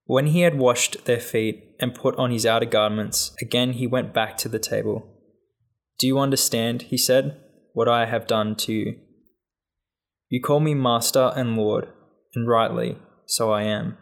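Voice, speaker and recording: Measured male scripture reader, medium pitch. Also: fairly even intonation, gravelly. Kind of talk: scripted Gospel reading.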